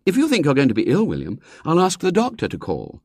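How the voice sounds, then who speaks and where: A man's voice: a little high, huge pitch swings, fast talker, a little mellow, no echo, studio quality, voice pushed up, exaggerated voice. A man doing a firm, sensible mother.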